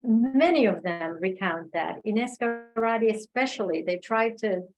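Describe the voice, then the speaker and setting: A woman giving thoughtful, reflective answers as a guest speaker in an online discussion, pausing as she finds her words. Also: medium pitch, over music, poor audio. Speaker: guest speaker, filmmaker and oral history researcher. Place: remote online video call.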